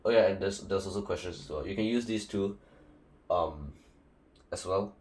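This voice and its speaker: A man's voice: sits low, normal speed, a little mellow. A young man from Singapore with a Singaporean English accent, giving casual, rambling study advice full of fillers and restarts. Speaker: student tutor.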